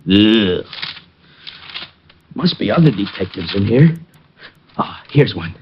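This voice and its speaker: Flustered grumpy neighbour plotting away, old time radio drama, fussy muttering, dull hissy sound. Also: male voice, lowish voice, very animated, slow pace. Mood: grumbling. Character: fretful, scheming grouchy male neighbour, henpecked-sounding victim. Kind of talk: 1940s-50s radio acting. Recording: muffled old radio recording, faint hiss.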